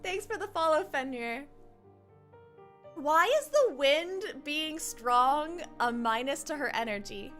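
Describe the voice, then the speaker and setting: A woman with a high voice gives casual commentary on a game stream. She rambles reflectively and drops in slang, speaking as if to chat. Music plays behind her. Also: animated, takes it slowly, a bit bright. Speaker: live streamer playing a game.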